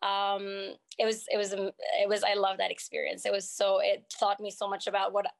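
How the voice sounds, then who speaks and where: A woman's voice: medium pitch, completely flat delivery, a little fast, vocal fry. A young woman from Montreal with a French Canadian accent and French as her first language, chatting as an interview guest with many ums and restarts. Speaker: guest entrepreneur. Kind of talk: casual interview chat.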